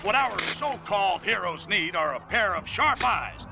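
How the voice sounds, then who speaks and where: High-pitched, brash newsman. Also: animated, unhurried, creaky, heavily acted. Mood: excited reporting. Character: brash, fast-talking male city news reporter, grumbling. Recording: music behind the voice. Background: music.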